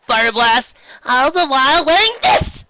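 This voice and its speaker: A man voicing a hyper, silly, confident female cartoon pony in a dramatic, drawn-out way, reading a story. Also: very high voice, big pitch swings, sharp tone, no room sound, lo-fi sound, pitched above the performer's own voice, full character voice. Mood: playfully confident.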